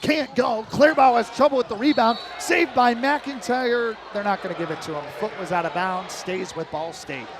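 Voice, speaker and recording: High-pitched male basketball play-by-play announcer. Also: background noise. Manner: clipped, rapid-fire descriptive phrases. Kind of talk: live radio basketball commentary.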